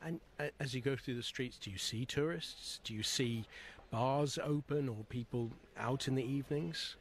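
A male news presenter in a studio with a mid-range voice. He asks his questions in a composed, steady manner and keeps the interview moving. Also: medium pitch, moderate pace, slight background noise.

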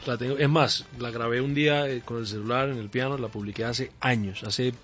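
A man's voice: mid-range voice, fairly even intonation, a little fast, fairly bright tone. A man with a Caribbean coastal Spanish accent, a guest singer in a radio interview, upbeat and reflective, laughing easily. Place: radio studio. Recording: slightly muffled radio studio with faint music.

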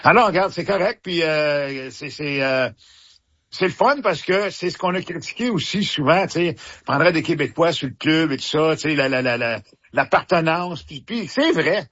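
A man's voice: a little high, lively intonation, moderate pace, a bit bright. A man with a Quebec French accent, a former hockey player chatting casually as a sports commentator, rambling with many restarts and fillers. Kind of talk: casual radio sports chat. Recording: muffled radio broadcast.

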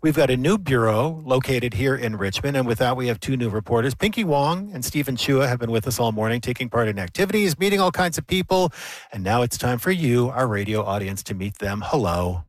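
Man, radio host, relaxed and chatty, dry studio sound. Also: medium pitch, fairly quick, no echo. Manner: relaxed, chatty, with many fillers. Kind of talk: live radio interview.

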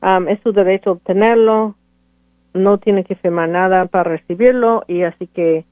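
A woman's voice: mid-range voice, steady tone, moderate pace, dry recording. Hesitant woman lawyer on call-in radio. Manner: hesitant, many fillers and restarts. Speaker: immigration lawyer. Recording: tinny phone-like radio audio, dry.

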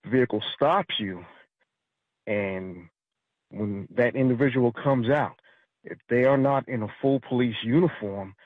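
A man from New York City calling into a radio show, speaking in a measured, matter-of-fact way. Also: mid-range voice, dry recording. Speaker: caller, retired federal officer. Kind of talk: phone-in commentary. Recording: tinny phone line.

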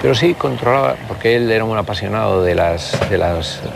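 A man's voice: lowish voice, brisk, gravelly, background noise. A man in his sixties from the Country, speaks as a politician guest in an interview, reflective and full of hesitations and restarts. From Basque Country, Spain. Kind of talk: conversational interview.

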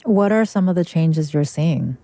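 A woman interviewing a guest, asking measured questions and rephrasing them at length. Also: deep voice, lively intonation, warm tone, no echo, studio quality.

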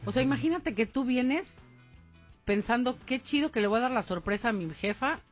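A woman's voice: fairly high pitch, expressive, normal speed, soft background music. Chatty woman co-hosting radio. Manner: chatty, agreeable, interjecting. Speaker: radio co-host. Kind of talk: casual radio chat.

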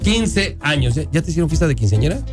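A man's voice: a little high, big pitch swings, fast. A Mexican-accented man hosts a studio radio interview with a teasing, playful manner. He stretches out words for effect as he chats with guests. Music plays behind him. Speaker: radio host. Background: background music.

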